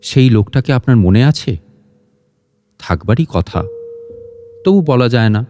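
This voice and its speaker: A man's voice: medium pitch, animated, measured pace, warm tone. A man reads a literary story as a scripted audio narration. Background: guitar and instrumental music.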